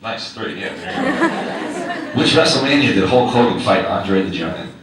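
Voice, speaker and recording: A man's voice: lowish voice, wildly swooping pitch, a little slow, over a noisy crowd. A male teacher reading his own personal essay aloud to a live audience, wry and conversational, with direct questions to the listeners. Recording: very echoey hall, distant mic, faint noise.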